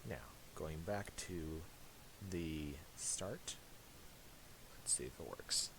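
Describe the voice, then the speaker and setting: A man narrating a casual screen-recorded software tutorial, halting and thinking aloud as he goes. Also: very deep voice. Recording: hissy home recording with clicks.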